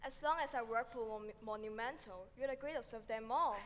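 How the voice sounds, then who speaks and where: A woman's voice: on the high side, a little fast, a bit bright, in a large hall, natural voice. A young woman on a school stage playing a loyal, wisecracking male friend. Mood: joking. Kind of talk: school stage musical acting.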